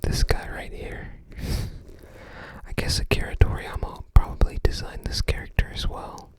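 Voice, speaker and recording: An ASMR creator whispering casual commentary in short, hesitant, rambling fragments. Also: normal speed.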